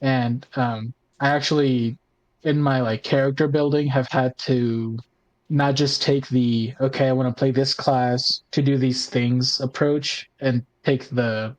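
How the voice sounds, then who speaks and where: Casual, halting man chatting on a podcast. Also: medium pitch, steady tone, poor audio. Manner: informal, halting, frequent "like", mild swearing. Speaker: podcast co-host and tabletop player. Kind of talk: casual roundtable chat.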